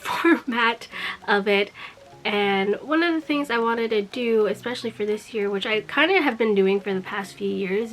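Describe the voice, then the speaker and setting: A young woman vlogging casually while she gets over a cold, chatty and full of fillers and small laughs. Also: medium pitch. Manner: quiet and sleepy, just woken up. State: recovering from a cold. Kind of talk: casual vlog talk.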